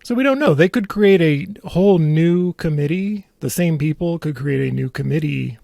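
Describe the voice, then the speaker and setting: Gravelly American man, casual political commentary. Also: on the high side, lively intonation, normal speed, dark tone, dry recording, studio quality. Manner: wry, sardonic. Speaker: talk show host discussing local politics.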